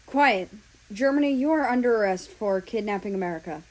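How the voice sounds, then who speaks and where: A woman's voice: medium pitch, expressive, fairly quick, close to an everyday voice, poor audio. A woman voicing a stern, dismissive authority figure who gives curt orders in a story reading. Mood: commanding. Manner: commanding, curt.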